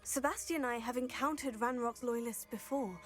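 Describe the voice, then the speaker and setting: A woman voicing a calm, diplomatic young female student. Her voice is a little high, and she speaks in a reasoned, measured way over soft game music. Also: close to an everyday voice.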